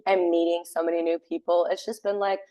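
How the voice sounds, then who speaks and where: Young woman, loud voice, slightly low pitch, casual and chatty, lots of "like", podcast guest. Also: level tone, a little fast. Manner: chatty, many fillers like "like". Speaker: guest, theater sound tech and assistant stage manager.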